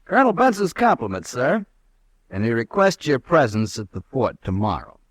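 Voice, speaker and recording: A haughty young cavalry officer in an old radio western, his pitch swinging widely as he talks. He is clipped, formal and proud. The sound is dry. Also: wildly swooping pitch, moderate pace, no room sound. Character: proud, arrogant young male cavalry lieutenant. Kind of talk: 1950s radio drama acting.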